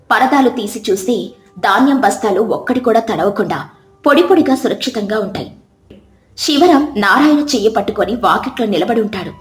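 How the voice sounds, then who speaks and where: A woman narrates a scripted moral tale in dramatic storytelling style. Her voice sits fairly high. The track has a roomy echo around it.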